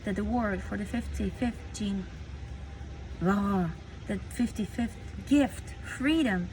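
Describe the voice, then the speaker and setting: A woman's voice: medium pitch, takes it slowly, noisy background. Latvian woman reading aloud at midnight. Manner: dreamy, emphatic, rambling commentary between read passages. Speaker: spiritual book reader.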